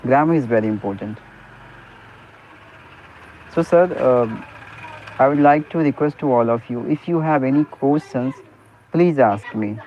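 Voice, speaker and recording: A man's voice: mid-range voice, a little slow, long pauses, a little hiss or hum. Man on a tinny line. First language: Hindi. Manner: earnest, repeats "you know" and "okay" often, restarts sentences. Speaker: private teacher. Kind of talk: English speaking practice conversation.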